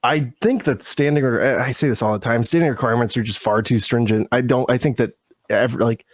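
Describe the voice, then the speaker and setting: A man's voice: mid-range voice, fairly quick, no echo, clean studio sound. A man co-hosting a casual podcast, congested from a sinus infection, with wry, exasperated asides. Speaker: podcast co-host. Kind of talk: casual political chat.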